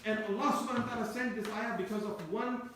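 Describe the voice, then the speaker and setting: A man's voice: high-pitched, fairly even intonation, fast talker, fairly bright tone. Male Turkish-native lecturer, rambling explanatory delivery, distant mic, roomy echo, faint background hiss. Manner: discursive, repeats and restarts phrases. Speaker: Quran teacher. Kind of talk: tafseer lecture. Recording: echoey room, mic at a distance.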